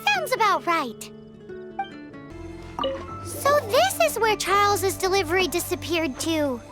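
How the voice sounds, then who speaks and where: A woman voicing a small, perky, cheeky fairy companion in a video game, with a squeaky childlike voice. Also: sing-song, takes it slowly, loud music behind the voice, exaggerated voice. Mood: cheeky, curious. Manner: cute, cheeky. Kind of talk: video game character dialogue.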